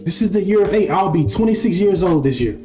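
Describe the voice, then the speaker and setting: A man's voice: high-pitched, expressive, quick pace, mellow. Young man musing in an echoey room. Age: 20s. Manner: repeats phrases, asks "right?". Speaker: spiritual live-stream host. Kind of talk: casual spiritual monologue. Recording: echoey room with faint music.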